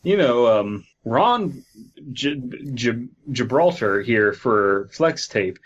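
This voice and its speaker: A man co-hosting a comedy podcast, riffing in a deadpan, casual way and reading stories aloud. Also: medium pitch, slow pace, muffled recording. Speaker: podcast host.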